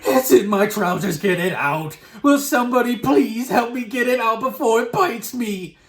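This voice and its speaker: A man voicing a frantic, comically terrified male cook who yells and screams in alarm in a storybook reading. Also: extremely high pitch, normal speed, reverberant, pitched above the performer's own voice. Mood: screaming panic.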